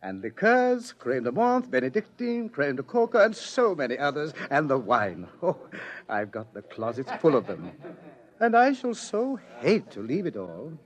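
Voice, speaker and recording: Give this role to an urbane, menacing pursuer in a classic radio drama. His voice sits a little high and swings widely in pitch. He savors his words with mocking, self-indulgent ease. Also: huge pitch swings, moderate pace, highs cut off. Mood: gloating relish. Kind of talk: vintage radio drama acting. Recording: old radio drama recording.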